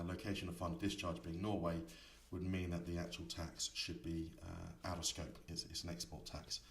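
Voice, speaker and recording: A man giving a software tutorial, explaining each setting step by step in an instructor's manner. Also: low-pitched. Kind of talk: screen-recorded tutorial explanation. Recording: echoey room, distant mic, faint noise.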